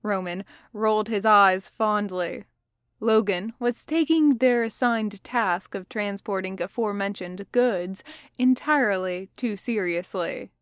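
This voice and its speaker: A woman's voice: mid-range voice, measured pace, no room sound, studio quality. A woman reading scripted fiction as an audiobook narrator. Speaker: podfic narrator.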